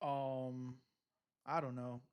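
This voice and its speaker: A young man streaming live, chatting casually with his viewers in loud, laughing, slang-heavy banter. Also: medium pitch, little pitch movement, extremely slow, clean tone. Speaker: streamer reacting to videos.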